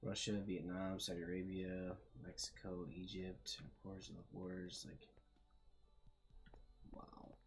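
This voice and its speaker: A man's voice: low-pitched, monotone, slow pace, faint music behind. A young man hosting a game video, reading text aloud and musing with fillers as he explores. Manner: thoughtful, trailing off with fillers. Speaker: gaming video host. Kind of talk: casual let's-play commentary, reading aloud.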